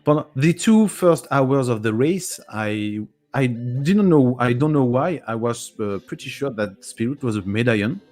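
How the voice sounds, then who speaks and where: A man with a French accent, French his native tongue, chatting after a race as a guest, halting with many restarts and frequent laughs. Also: mid-range voice, lively intonation, a little mellow. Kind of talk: casual post-race chat.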